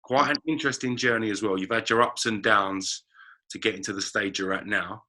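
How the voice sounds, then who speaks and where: A male host with a very bright, sharp tone and a slightly low pitch. He steers the conversation with calm, leading questions in an interview setting. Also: brisk. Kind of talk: podcast interview.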